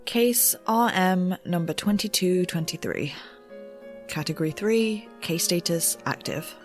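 An eager young female ghost researcher in an audio drama. Her delivery is animated and swings widely in pitch, with nervy, self-justifying, wry asides. Faint music sits behind her chatty audio notes.

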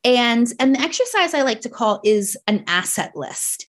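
A woman's voice: fairly high pitch, very animated, normal speed. A woman in her thirties from New Jersey, with a Jersey accent, speaking earnestly and reflectively as a coach on a podcast. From New Jersey, United States. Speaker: guest, coach. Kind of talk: podcast conversation.

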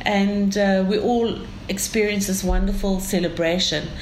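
A woman's voice: medium pitch, steady tone, a little slow. South African woman in an echoey room. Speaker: interview guest. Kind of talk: enthusiastic conversational interview. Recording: echoey room with faint ambient noise.

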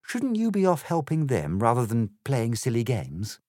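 A man's voice: mid-range voice, wildly swooping pitch, moderate pace, darkish tone, no echo, clean studio sound, pitched above the performer's own voice. A man voicing a polite, curious young girl who speaks primly. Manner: prim and polite.

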